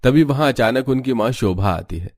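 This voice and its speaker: A man's voice: medium pitch, expressive, moderate pace, no echo, clean studio sound. A man delivering scripted narration as the storyteller of a cartoon tale.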